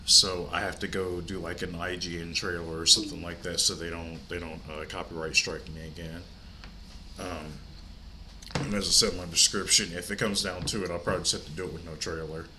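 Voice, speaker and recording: A man's voice: low voice, little pitch movement, brisk, pushed and bright, slight background noise, poor audio. An older man with a stuffy, congested nose, hosting his movie channel from home in a rambling, chatty way. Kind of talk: casual vlog chat.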